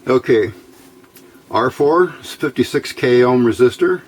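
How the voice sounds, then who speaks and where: A man giving an unscripted hands-on technical tutorial in a matter-of-fact, halting, step-by-step way. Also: medium pitch, expressive. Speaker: host of an electronics build tutorial. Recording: clear background noise, room ambience.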